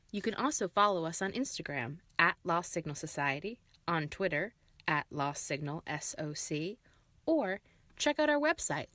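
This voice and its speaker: Female podcast announcer for scripted sign-offs, slightly low voice, close dry sound. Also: fairly bright tone, dry recording. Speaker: show announcer.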